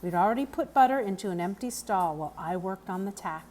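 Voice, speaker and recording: A woman giving an expressive read-aloud of a children's novel as the narrator. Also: medium pitch, fast, a little hiss or hum. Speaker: book reader.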